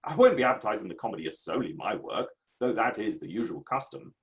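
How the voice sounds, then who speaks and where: A man's voice: lowish voice, very animated, fast. A man playing a pompous, self-satisfied Victorian playwright in a theatrical dramatic reading. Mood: defensive, haughty. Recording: online reading from home setup.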